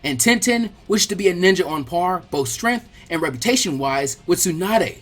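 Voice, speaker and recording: A storyteller voicing an earnest, over-excitable teenage boy martial artist who speaks in formal, emphatic declarations. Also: medium pitch, moderate pace. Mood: proud, exuberant.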